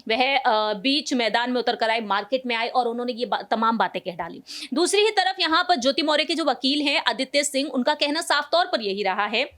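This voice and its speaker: A woman with a high-pitched voice speaks as a news co-presenter. She gives running analysis in long, wordy sentences with repeated stock phrases. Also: animated, fairly quick, few pauses, a bit bright. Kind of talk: news discussion commentary.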